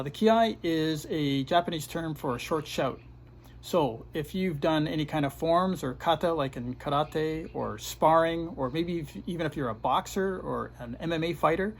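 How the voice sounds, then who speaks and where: A man who teaches karate, hosting a casual video-call interview in a relaxed, chatty way with lots of fillers. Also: fairly high pitch, slight background noise.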